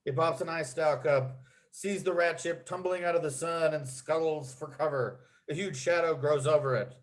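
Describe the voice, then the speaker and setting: A man's voice: a little high, steady tone, moderate pace. A man narrating a comedy screenplay at a table read, reading out scene headings and action with punchy delivery.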